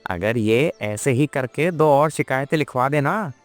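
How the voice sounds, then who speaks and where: A man's voice: mid-range voice, animated, unhurried, no echo, soft background music, lightly acted. A man voicing a cheeky, timid village police constable in a children's cartoon. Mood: amused. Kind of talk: children's cartoon moral story acting.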